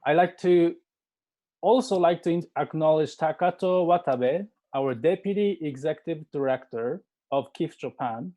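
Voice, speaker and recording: A man who organized the forum reads out formal thanks to his partners, now and then restarting a phrase. His voice sits a little high for a man, with a warm, mellow tone. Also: normal speed. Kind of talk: formal thank-you speech. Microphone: video call.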